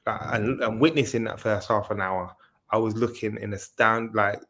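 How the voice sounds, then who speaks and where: A man talking football as a guest fan in a casual debate, laid-back and resigned, low on energy. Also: fairly even intonation, normal speed, fairly bright tone. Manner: laid-back, resigned, says bro a lot.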